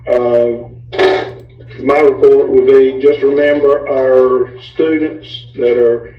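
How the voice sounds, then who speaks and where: A man's voice: mid-range voice, little pitch movement, slow, faint music behind. A man chairing a board meeting with procedural, slightly hesitant, formal talk. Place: indoor meeting room. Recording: echoey room, distant, dull sound.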